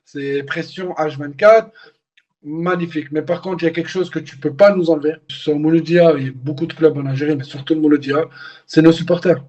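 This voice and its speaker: A man's voice: a little high, steady tone, fairly quick, a little mellow, highs cut off. A man born and raised in France, a former footballer speaking candidly as an interview guest, often repeating words as he searches for them. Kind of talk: casual interview.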